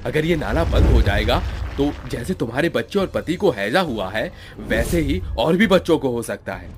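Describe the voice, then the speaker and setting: A man voicing a village headman in an animated story, earnest, concerned and argumentative. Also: medium pitch, moderate pace, over music, pitched above the performer's own voice, natural voice. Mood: firm, reasoning. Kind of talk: Hindi animated story acting.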